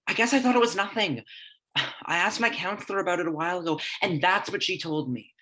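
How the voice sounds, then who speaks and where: A man's voice: high-pitched, fairly quick, a little hiss or hum, poor audio. A young actor playing a frightened teenage boy who talks in a subdued, resigned way. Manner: subdued, flat, trying to sound calm. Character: scared teenage boy Rory, quietly resigned older brother. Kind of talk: student audio drama.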